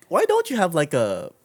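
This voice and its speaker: Man hosting a casual, rambling podcast. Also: high-pitched. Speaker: podcast host.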